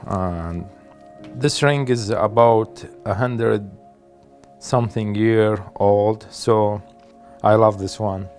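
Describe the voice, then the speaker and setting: A woman's voice: rumbling low, takes it slowly, a little mellow, soft background music. A woman speaking fondly and hesitantly in an interview, repeating words as she goes. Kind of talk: interview testimony.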